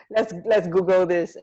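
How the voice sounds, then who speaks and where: A woman's voice: lowish voice, dark tone, slight background noise. A woman co-hosting a radio show in a casual, informal chat style, with slightly muffled audio. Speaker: radio co-host.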